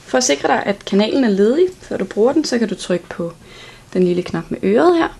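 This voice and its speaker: A woman's voice: mid-range voice, sing-song, gravelly, a little mellow, slightly rough. A woman giving an instructional product walkthrough in a plain, step-by-step way. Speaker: product demonstrator.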